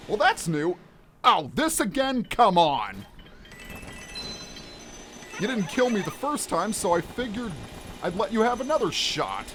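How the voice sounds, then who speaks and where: A gaming video host giving chatty, expressive let's play commentary and explaining things clearly as they go. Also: mid-range voice, a little slow, long pauses, slight background noise. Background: game music.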